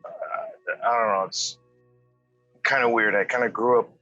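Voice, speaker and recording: A man's voice: mid-range voice, a little slow, no echo, lo-fi sound, soft background music. A man who grew up in Korea with English as his first language, talking casually as a podcast guest with lots of fillers and hesitations. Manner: relaxed, hesitant, many fillers. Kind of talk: casual chat.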